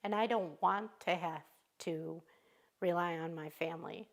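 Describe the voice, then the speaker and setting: A woman in her fifties from Michigan speaking earnestly and with determination in a news interview, pausing often as she talks. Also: on the low side, vocal fry. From Michigan, USA. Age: 50s. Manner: earnest, determined, halting with pauses.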